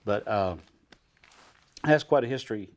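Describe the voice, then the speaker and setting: A man in his sixties hosting a TV studio interview, asking leading questions in a halting way with many pauses. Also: lowish voice, animated. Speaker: host.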